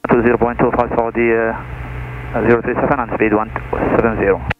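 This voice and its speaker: A man's voice: medium pitch, level tone, fairly quick. A man working as a pilot, giving clipped readbacks over aviation radio. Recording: tinny, noisy aviation radio.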